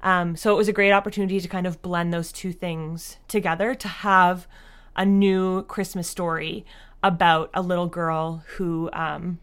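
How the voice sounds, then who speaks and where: A woman's voice: on the low side, level tone, moderate pace, fairly bright tone, smooth. A woman answering as an interview guest in a conversational way, with hesitant, filler-heavy phrasing. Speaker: interview guest, audio drama creator.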